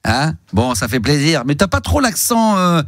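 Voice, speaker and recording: A man hosting a radio call-in show in a dry studio, his voice set a little higher than most men's. He talks in a lively, bouncing way, full of teasing and deliberate mishearings. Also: dry recording. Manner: teasing, mishears on purpose, playful interruptions. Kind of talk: joking call-in banter. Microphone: studio microphone.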